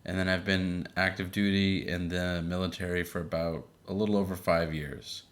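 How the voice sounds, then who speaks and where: A man in his thirties co-hosting a podcast with his wife, chatting casually with a relaxed, filler-laden manner. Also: low-pitched, moderate pace. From Boston area. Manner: relaxed, many fillers and restarts. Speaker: podcast co-host. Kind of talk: casual chat.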